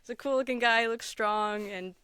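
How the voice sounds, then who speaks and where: A woman's voice: on the high side, fairly quick, sharp tone, smooth, no room sound. A woman chatting casually as a podcast guest, telling personal stories in an enthusiastic, giggly manner. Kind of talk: casual interview chat, personal storytelling.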